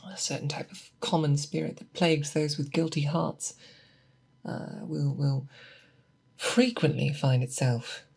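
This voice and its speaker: Woman doing a seasoned monster hunter teacher, asmr roleplay, real slow and breathy, patient, asks questions, close mic. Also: low-pitched, steady tone, a little mellow, full character voice. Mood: explanatory, musing. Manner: deliberate, instructive, questioning, thoughtful pauses. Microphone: close binaural microphone.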